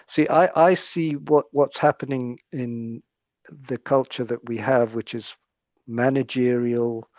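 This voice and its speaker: A man's voice: mid-range voice, steady tone, a little slow, a little mellow, no room sound, dull audio. A British man speaking as a psychiatrist guest in an interview conversation, explaining his points in a thoughtful way and often restarting his phrases.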